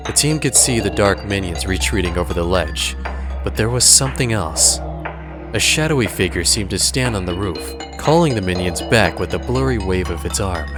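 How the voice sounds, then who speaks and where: A man narrating an audio drama in a dramatic, scripted storytelling style. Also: fairly low pitch.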